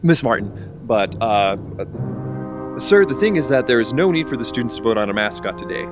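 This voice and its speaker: An actor playing an earnest, rule-minded school administrator with a hesitant, deferential manner in a scripted audio drama. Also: male voice, medium pitch, animated, brisk, music in the background, lightly acted. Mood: flustered, earnest.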